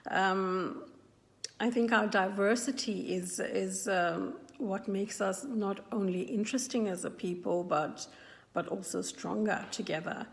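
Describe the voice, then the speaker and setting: A woman in her forties with a South African English accent speaks in a middle pitch, pausing often and restarting phrases as she thinks. Her voice rings with a long reverb, as if recorded in a large hall. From South Africa, KwaZulu-Natal. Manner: thoughtful, with frequent ums and restarts. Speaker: interviewee. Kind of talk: personal account to camera.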